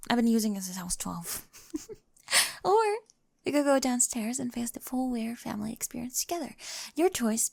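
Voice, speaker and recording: A nerdy young girlfriend, played by a woman, intense and affectionate, speaks in a high voice with plenty of fry. She is awkward and precise, often slipping toward a whisper. It is a close, very dry ASMR roleplay recording. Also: darkish tone, no room sound. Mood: playful, affectionate. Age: young adult. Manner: hesitant, precise, often dropping to a breathy whisper. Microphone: close microphone.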